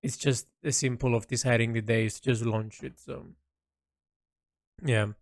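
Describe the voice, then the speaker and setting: A man recording an unscripted, reflective personal audio log, halting and rambling as he thinks aloud. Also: medium pitch, fairly even intonation, dry recording, clean studio sound.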